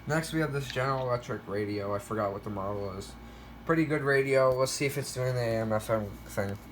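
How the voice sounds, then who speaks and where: A man talks casually through a hands-on show-and-tell in a hesitant, rambling manner. He corrects himself as he goes, at an ordinary medium pitch. There is some noise in the recording. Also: fast. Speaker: video creator showing a weather radio collection.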